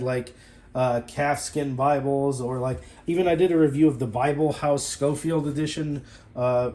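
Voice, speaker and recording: A man casually reviewing a study Bible to camera, rambling with fillers and restarts. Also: a little high.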